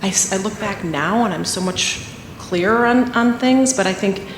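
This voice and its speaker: A woman's voice: medium pitch, moderate pace. A woman on a panel, reflecting on her family in a personal way and sometimes searching for words. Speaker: panelist, parent of a caregiving child. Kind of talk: panel discussion. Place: conference hall. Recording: echoey hall with faint room noise.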